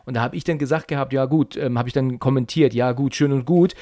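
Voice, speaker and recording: A man's voice: medium pitch, fairly even intonation, fast, warm tone, dry recording, clean studio sound. A man interviewing in a casual, chatty way, rambling and often repeating phrases as he circles back. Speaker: podcast interviewer.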